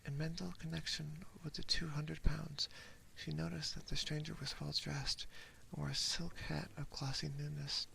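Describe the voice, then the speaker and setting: A man reading a story aloud as ASMR in a soft, hushed, unhurried whisper, close to a binaural microphone. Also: on the high side, little pitch movement, creaky, slight background noise, low-quality recording. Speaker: ASMR streamer.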